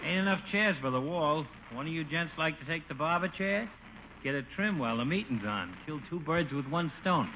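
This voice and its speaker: An actor playing a needling small-town barber with slangy, brash talk, in 1950s radio sitcom style. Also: male voice, a little high, animated, moderate pace, bright, forceful tone. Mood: folksy, offhand. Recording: muffled, faintly noisy old radio recording.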